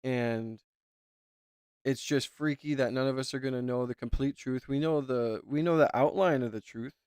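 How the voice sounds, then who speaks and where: A man's voice: medium pitch, normal speed, darkish tone, clear, resonant tone, no room sound, clean studio sound. A man commenting as a YouTuber, talking in a rambling, emphatic way and repeating himself. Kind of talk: casual reaction commentary.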